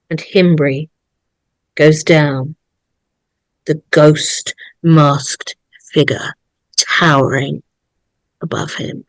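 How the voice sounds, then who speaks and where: A woman's voice: fairly low pitch, a bit bright, no echo, rough recording. A woman narrator reading screenplay stage directions aloud.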